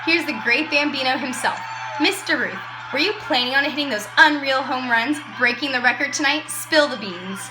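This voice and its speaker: A woman playing a brash, slangy radio reporter and pitchwoman in an amateur 1920s broadcast. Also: moderate pace, close to an everyday voice. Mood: excited. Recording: roomy echo, music behind.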